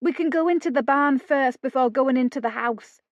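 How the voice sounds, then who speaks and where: A woman's voice: high-pitched, moderate pace, no echo, voice pushed up, natural voice. A woman voicing a pushy, scheming young country woman who urges and wheedles. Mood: urging. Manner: urging, wheedling. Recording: dull lo-fi audio.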